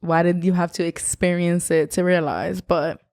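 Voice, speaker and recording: A woman's voice: on the low side, fairly even intonation, normal speed, a little mellow, no echo. A woman co-hosting a podcast in casual chat, supportive and chiming in often with short affirmations. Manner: supportive, frequent affirming interjections. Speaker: podcast co-host.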